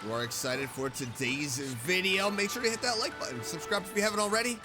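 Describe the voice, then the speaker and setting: A woman's voice: on the low side, fairly quick. A woman doing casual let's play commentary, playful and chatty, reacting aloud to game characters. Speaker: streamer playing and reacting to a game. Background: game music.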